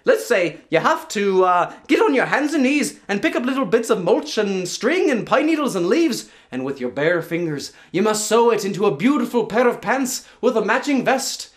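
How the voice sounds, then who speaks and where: A man's voice: sits high, big pitch swings, normal speed, hardly any pauses, pitched above the performer's own voice. A man voicing a mischievous, meddling leprechaun in a folktale, gleeful and teasing as he makes things up. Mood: gleeful, bossy. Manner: gleeful, teasing, improvising as he goes. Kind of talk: live folktale storytelling.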